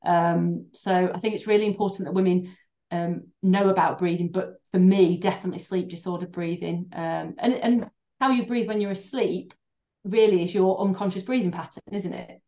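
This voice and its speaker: A woman's voice: lowish voice, fairly even intonation, moderate pace, mellow. A middle-aged woman, a doctor and breathing therapist, talking in a podcast interview in an earnest, rambling way with many restarts and fillers. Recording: roomy echo.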